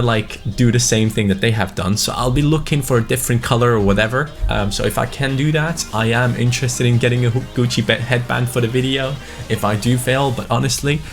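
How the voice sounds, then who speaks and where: Young guy narrating his football game, gets worked up fast, some soft music in back. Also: mid-range voice. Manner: excitable, swings to panicked cries. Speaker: gaming YouTuber. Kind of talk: casual game commentary.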